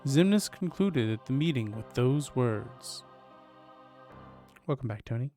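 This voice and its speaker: Guy streaming a game, reads story text out loud and chats, music playing softly behind him. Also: mid-range voice, animated, a little slow. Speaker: streamer speedrunning a video game. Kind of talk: live stream chat, reading on-screen text aloud. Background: video game music.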